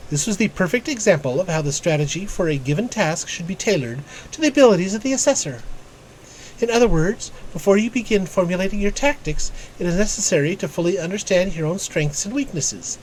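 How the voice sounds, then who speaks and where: A man's voice: high voice, animated, brisk, slight background noise, voice pushed up, low-quality recording. A man voicing an analytical guild tactician from a game, reading the dialogue in a formal, precise, lecturing way. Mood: instructive, measured.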